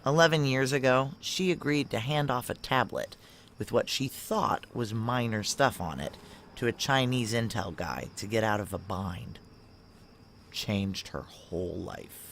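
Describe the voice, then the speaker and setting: A woman's voice: extremely low pitch, measured pace, a little hiss or hum. An actress playing a brash, foul-mouthed, hard-boiled woman operative, wry and blunt, in a noir audio drama. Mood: wry, matter-of-fact.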